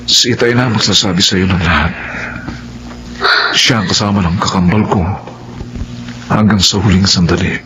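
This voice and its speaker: A man's voice: low-pitched, normal speed, pauses often, natural voice. A man playing an earnest, sober young twin brother in a Filipino melodrama, restrained and serious. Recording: dull sound with faint noise.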